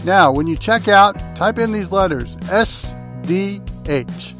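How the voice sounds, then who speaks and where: A middle-aged man hosting a sports podcast in a deliberate, announcer-like way. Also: high voice, animated, fairly bright tone, faint music behind. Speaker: podcast host. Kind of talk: hosted sports interview and read segues.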